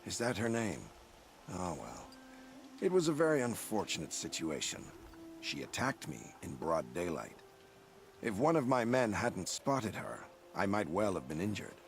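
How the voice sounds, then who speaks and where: An actor playing a slippery, falsely polite military villain in a video game, smooth and condescending. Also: male voice, deep voice, sing-song, unhurried, slight background noise, full character voice. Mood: smug, dismissive.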